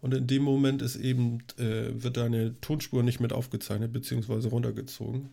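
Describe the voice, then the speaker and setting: Give me a man host with a cold, rambling casually, low and husky-edged. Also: little pitch movement, brisk, dark tone. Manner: chatty, rambling with many fillers. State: has a cold, coughing. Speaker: podcast host. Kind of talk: casual tech podcast chat. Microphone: studio microphone on a long cable.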